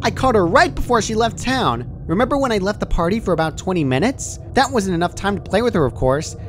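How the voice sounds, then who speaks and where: Reader putting on a giggly crazy girl pony, super high and bouncy, sweet but creepy, noisy audio. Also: lively intonation, fast, natural voice. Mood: gleeful. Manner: giggly, bubbly, casually chiding. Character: cheerful, deranged female party pony, sweetly menacing.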